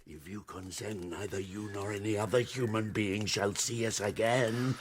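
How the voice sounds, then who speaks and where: An actor playing a lonely, tormented, hideous monster in an audiobook drama, eloquent and theatrical, moving between hushed murmurs and fervent pleas, with a gravelly voice. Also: male voice, fairly low pitch, steady tone, normal speed, heavy background noise, close to an everyday voice. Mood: miserable, pleading. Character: lonely, tormented, hideous male monster pleading with his maker.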